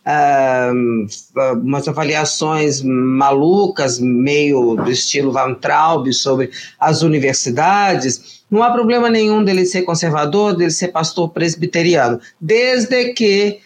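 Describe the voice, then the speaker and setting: A woman with a Brazilian accent, a panel commentator giving a critical, measured take on a new minister. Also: deep voice. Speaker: political commentator.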